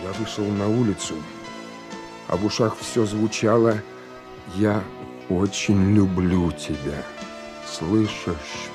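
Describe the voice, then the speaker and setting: A man's voice: fairly low pitch, takes it slowly, pauses often. An actor playing an earnest, ambitious young naval lieutenant who also narrates his story in a radio play. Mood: reflective. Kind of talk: radio play acting and first-person narration. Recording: studio radio play, faint music.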